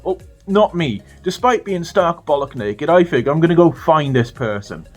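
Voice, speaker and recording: A British man telling a funny story to his viewers in a casual, animated way, swearing freely. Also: on the high side, moderate pace, faint music behind. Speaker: storytelling vlogger.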